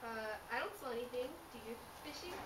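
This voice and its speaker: A woman's voice: on the high side, quick pace, close to an everyday voice. A woman voicing a curious, peacemaking penguin moderator in an amateur comic puppet show, inquisitive and giggly. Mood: mild. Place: indoors, homemade puppet stage filmed by a camera. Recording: echoey, distant, noisy home camera recording.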